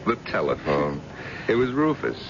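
An actor playing a gruff, suspicious, belittling husband in an animated, theatrical 1970s radio drama style. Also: male voice, low voice, normal speed. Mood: feigned casualness. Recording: dull, noisy old radio broadcast.

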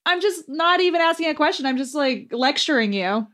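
A woman's voice: sits high, lively intonation, fairly quick, a bit bright. A woman guesting on a comedy podcast, chatting in a playful, dry way. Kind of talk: casual comedic chat.

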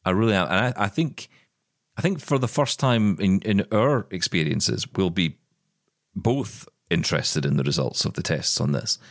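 Podcast co-host, male, low voice, loose rambling delivery, close dry mic. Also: lively intonation, normal speed, vocal fry, no echo. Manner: rambling, repeats words when starting sentences. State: blind, describing progressive vision loss. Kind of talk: casual chat.

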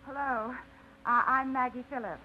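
A woman's voice: high-pitched, steady tone, normal speed, slight background noise, natural voice. An actress playing a shy, hesitant young woman who stumbles over her words, in a 1950s radio drama. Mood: timid. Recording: muffled 1950s radio broadcast.

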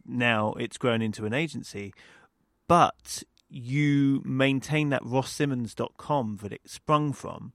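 A man's voice: medium pitch, takes it slowly, no echo, clean studio sound. A man hosting an interview, asking casual questions in a conversational way and often searching for words. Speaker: podcast host.

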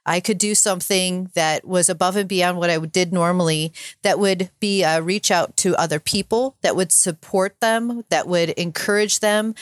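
A middle-aged woman with a calm, soothing, measured way of talking, sharing her personal story as an interview guest. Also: lowish voice, dry recording, studio quality. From northern climate region. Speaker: podcast guest.

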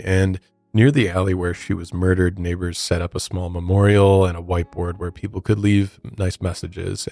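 A man's voice: low-pitched, a little mellow. An American man hosting a podcast, telling a true crime story in a conversational way with informal asides. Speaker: podcast host.

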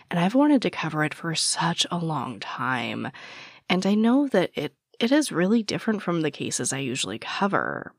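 A young woman hosting a true crime podcast who is losing her voice, raspy and soft-spoken, earnest, with frequent ums. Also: sits low, no room sound, studio quality. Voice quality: raspy, whispery. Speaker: podcast host. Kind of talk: true crime podcast narration and casual after-show chat.

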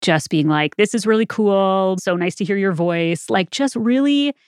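A woman's voice: medium pitch, moderate pace, smooth, no echo, studio quality. A woman co-hosting a podcast, chatting casually and reflectively in a conversational, anecdotal way. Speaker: podcast co-host. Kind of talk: casual chat, reflecting on the show.

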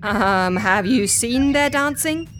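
An actress in a comedy audio drama playing an exasperated, organized woman agent, with an animated, instructive manner. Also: fairly high pitch, slow, slight background noise. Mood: flustered.

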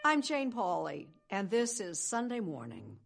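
An older woman hosting a morning news program, reading scripted introductions in a measured, polished way. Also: medium pitch, sing-song, vocal fry, over music. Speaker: news show host. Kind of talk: scripted broadcast narration.

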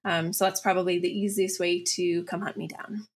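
Woman, from Canada, young adult, husky, relaxed, chatty podcast guest. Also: on the low side, moderate pace. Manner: relaxed, chatty, lots of fillers. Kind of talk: casual interview chat.

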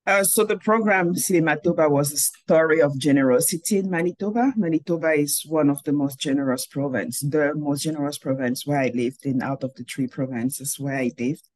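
A woman's voice: deep voice, brisk, hardly any pauses, a little mellow, no room sound. A woman in her forties from Cameroon, a filmmaker answering interview questions over a video call, thoughtful and often rephrasing mid-sentence. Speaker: filmmaker guest.

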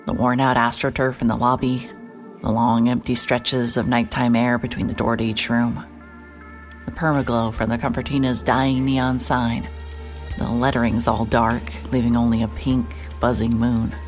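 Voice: medium pitch, flat delivery, normal speed, natural voice. An actor plays a tired, hard-boiled private eye in an audio drama. The voice sits in a middle register and narrates with dry, sardonic bite. Faint music runs underneath. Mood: weary, brooding. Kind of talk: first-person noir narration and dialogue in audio drama.

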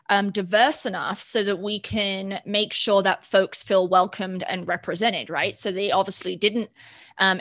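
A woman's voice: mid-range voice, a bit bright, no echo. A woman co-hosting a casual conversation, candid and critical, laughing easily.

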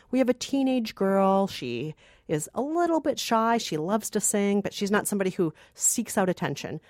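Lively, animated woman podcast host. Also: fairly low pitch, no room sound, studio quality. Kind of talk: lively film review chat.

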